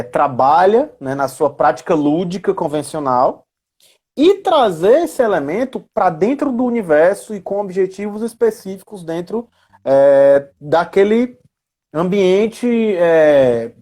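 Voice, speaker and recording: A Brazilian man talks in a fairly high voice for a man. He explains in an informal, deliberate way on a live stream, breaking his thoughts into short phrases with pauses. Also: darkish tone. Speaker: live stream discussion participant. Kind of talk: casual explanatory live discussion. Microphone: live video call.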